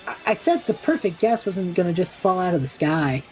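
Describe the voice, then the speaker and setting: Casting: woman as wisecracking extrovert male adventurer, lowish mellow voice, lively delivery, audio drama. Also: fairly quick, soft background music, poor audio. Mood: breezy. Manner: flippant, wry, theatrical. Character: impulsive, chatty extrovert young male traveller, showman.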